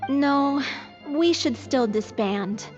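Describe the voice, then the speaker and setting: A woman playing a frail, earnest and stubborn young troupe performer in a video game, soft-spoken. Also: high-pitched, animated, extremely slow, in a large hall, highs cut off, soft background music, heavily acted. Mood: resigned, kind.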